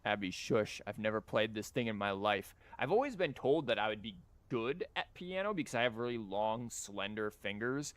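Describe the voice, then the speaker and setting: A man's voice: lowish voice, animated, moderate pace, hardly any pauses, no room sound. A man livestreaming a game, chatting casually with joking, rambling asides and reading dialogue aloud. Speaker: game streamer. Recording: music behind the voice. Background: game music.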